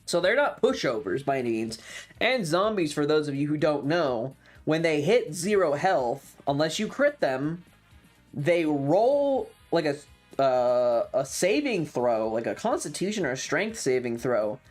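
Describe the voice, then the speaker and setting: Streamer recounting a tabletop adventure: unhurried, expressive, casual and foul-mouthed, androgynous voice over background music. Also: medium pitch. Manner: animated, frequent swearing. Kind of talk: casual storytelling about a tabletop game.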